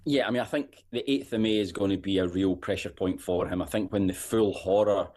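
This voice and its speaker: A middle-aged Scottish man, a political editor, giving measured commentary and often repeating words as he thinks. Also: low-pitched, a little mellow. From Scotland. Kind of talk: political commentary on talk radio.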